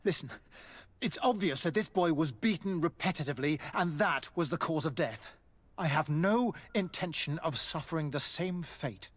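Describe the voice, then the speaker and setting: An actor playing an evasive, formal army doctor in a video game, hesitant and deferential. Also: male voice, on the high side, expressive, normal speed. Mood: anxious, confessing. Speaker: military doctor. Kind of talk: dramatic video game acting.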